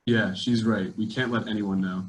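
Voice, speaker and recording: A man playing a nervous, bossy high school boy in an amateur script reading. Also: fairly even intonation, moderate pace, lightly acted, low-quality recording. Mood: anxious. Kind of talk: amateur table read of a movie script.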